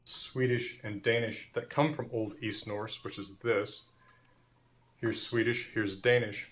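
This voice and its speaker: A man explaining language history in a measured, clear, professorial lecture. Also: on the low side, steady tone, fairly bright tone.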